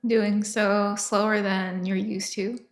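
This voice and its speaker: A woman leading a guided meditation with soft, soothing, unhurried instruction and long pauses between phrases. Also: mid-range voice, little pitch movement, echoey room.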